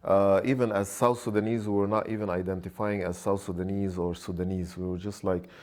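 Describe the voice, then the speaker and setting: A young man raised in Lebanon, a podcast guest telling his story in a relaxed, hesitant way with many fillers. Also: sits low, a little fast. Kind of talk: casual interview storytelling.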